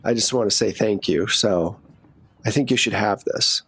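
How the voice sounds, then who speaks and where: A man's voice: lowish voice, moderate pace, creaky, a bit bright, husky, pitched below the performer's own voice, exaggerated voice. A man voicing a friendly, aging male shopkeeper in a tabletop game, casual and a little hesitant. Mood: grateful, friendly. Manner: casual, hesitant, plain-spoken.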